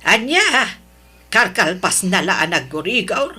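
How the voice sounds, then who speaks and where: A woman voicing an older mother in a radio play who speaks slowly, her pitch rising and falling a great deal. Her voice is bright with a husky edge. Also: sing-song, heavily acted. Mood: soothing. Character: older mother, teasing and soothing.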